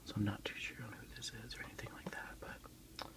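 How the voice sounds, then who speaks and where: A man softly whispering an informal, unhurried ASMR unboxing in a breathy voice. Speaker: ASMR video creator. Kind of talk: ASMR unboxing, softly narrated show-and-tell.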